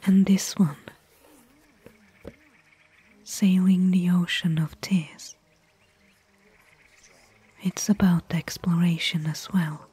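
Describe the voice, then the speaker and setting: A woman playing a gentle, bookish desert explorer and caring companion in a soft, whispered ASMR roleplay. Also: on the low side, fairly even intonation, heavily acted. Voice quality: breathy, whispered. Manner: slow, gentle, pausing between short phrases.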